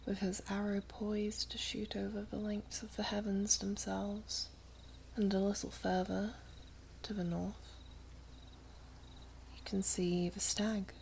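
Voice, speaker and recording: Woman doing an elf maiden for asmr roleplay, ageless n wistful, really slow soft whispery talk w some fry, kinda teasing, old-timey wording. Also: mid-range voice, little pitch movement, slight background noise. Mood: wistful, hushed. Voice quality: soft, breathy, half-whispered. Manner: archaic, unhurried, gently condescending.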